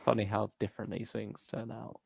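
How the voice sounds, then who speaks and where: A man with a lowish, very creaky voice hosts a relaxed fan chat. He talks informally and tentatively, working his thoughts out aloud. The sound is dry and close, with hardly any room on it. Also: brisk, no echo. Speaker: host of a fan discussion livestream. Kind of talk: casual chat.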